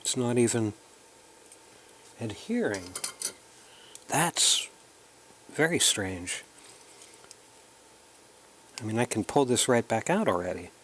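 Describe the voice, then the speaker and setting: A man narrating a hands-on tutorial in a casual, halting way, thinking aloud as he works. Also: medium pitch, expressive, fairly bright tone, a little hiss or hum. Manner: unhurried, halting, thinking aloud while working. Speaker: electronics hobbyist presenting a kit build.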